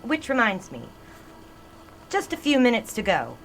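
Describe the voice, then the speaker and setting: An actress playing a reflective newspaper publisher's daughter in an audio drama, talking in a wistful, gently teasing way. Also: female voice, fairly high pitch, wildly swooping pitch, a little slow, a little hiss or hum, heavily acted. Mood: brisk. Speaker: narrator and newspaper woman.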